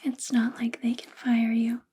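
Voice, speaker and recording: A woman plays a possessive, quietly controlling female boss in an ASMR roleplay. Her whisper is breathy and soft, fairly high yet mellow, and she coaxes very slowly and intimately, close to the mic. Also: completely flat delivery. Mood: protective, controlling. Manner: slow, intimate, coaxing. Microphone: close ASMR microphone.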